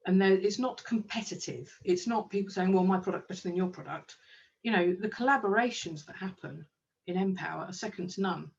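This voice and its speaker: A woman's voice: medium pitch, fairly quick, vocal fry, distant mic, dull audio. A woman, a kinesiologist and business owner, talking enthusiastically in a casual interview with plenty of ums. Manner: enthusiastic, chatty, with frequent ums.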